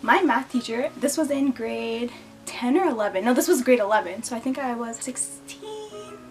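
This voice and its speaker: A woman's voice: fairly high pitch, lively intonation, normal speed, faint music behind. A young woman telling childhood stories and facts about herself to camera in a chatty, giggly way, putting on playful voices when she quotes people. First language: English. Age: young adult. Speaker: beauty and lifestyle vlogger. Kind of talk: casual storytelling to camera.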